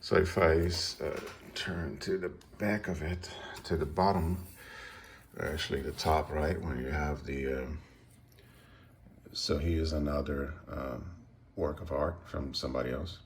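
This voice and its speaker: A man giving a casual, unscripted show-and-tell as a hobbyist, hesitant and halting as he thinks aloud. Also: extremely low pitch, steady tone, slight background noise. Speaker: radio hobbyist presenting a project.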